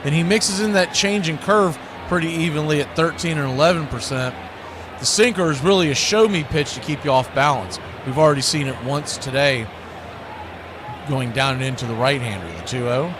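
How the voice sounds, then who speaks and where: A man's voice: on the high side, normal speed, background noise. A man doing live baseball play-by-play in a folksy style, fond of nicknames and catchphrases. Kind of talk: live sports commentary.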